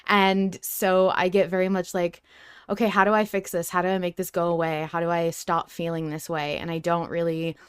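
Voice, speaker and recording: A woman with a fairly low, smooth voice talks casually on a podcast. She is earnest and expressive, thinking aloud about a story. The sound is dry, with very little room. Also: normal speed, dry recording. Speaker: podcast co-host. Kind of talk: casual fan discussion of a story.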